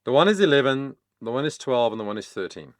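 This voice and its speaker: A man whose first language is Afrikaans, a vocal coach explaining singing in a casual, chatty way with many pauses and fillers. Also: mid-range voice, very animated, no echo, studio quality. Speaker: vocal coach, channel host. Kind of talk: casual reaction-video talk.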